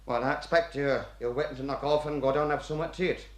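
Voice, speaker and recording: A man's voice: on the high side, fairly even intonation, quick pace, roomy sound, lightly acted. An actor playing a jovial, chatty English hangman with a Northern accent, breezy and folksy in a radio play. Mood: cheery.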